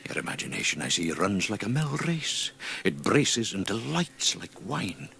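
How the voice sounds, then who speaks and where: Radio drama role: a gloating, seductive tempter in the head; gravelly, swooping, insinuating delivery. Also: male voice, fairly low pitch, very animated, normal speed, bright, forceful tone, full character voice. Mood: seductive, coaxing. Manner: theatrical, insinuating, savouring words with whispery emphasis. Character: seductive, gloating inner voice goading a newborn creature.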